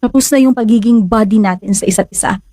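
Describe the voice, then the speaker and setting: A woman's voice: mid-range voice, fast, warm tone, dry recording, close to an everyday voice. An actress with a Filipino accent playing a guarded young woman in love in a radio drama, sounding wounded and emotional. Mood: guarded, resigned.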